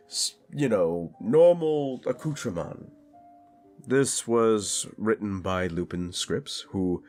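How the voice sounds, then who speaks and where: A man's voice: low voice, darkish tone. A man hosting his audio channel, speaking casually and earnestly to listeners with slight sinus congestion. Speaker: voice actor and channel host. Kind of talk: casual address to listeners, thanking supporters.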